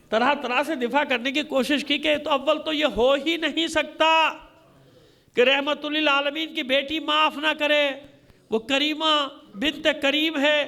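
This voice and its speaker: A man's voice: very high voice, pushed and bright. A man with a Pakistani Urdu accent delivers a mourning sermon as a cleric, telling the story in a dramatic, rhetorical way with repeated phrases. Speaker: Shia cleric reciting a majlis. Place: congregation hall.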